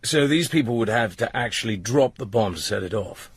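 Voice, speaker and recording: A man's voice: lowish voice, lively intonation, a little fast, husky, no room sound. A man playing a cool young British secret agent in a radio drama, with a terse, questioning, respectful manner. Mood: probing. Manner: terse, questioning, deferential to superiors.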